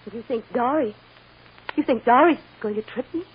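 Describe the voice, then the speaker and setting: A woman's voice: a little high, a little fast, lightly acted. An actress playing an anxious, devoted woman prisoner in an old radio drama, earnest, emotional and pleading. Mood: troubled, resigned. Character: anxious, devoted jailed woman awaiting trial. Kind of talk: 1940s-50s radio drama acting. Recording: muffled, noisy old radio recording.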